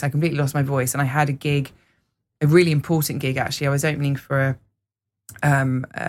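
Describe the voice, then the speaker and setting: A singer and music teacher, telling rambling anecdotes in a casual radio interview, often laughing and pausing with fillers. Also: mid-range voice.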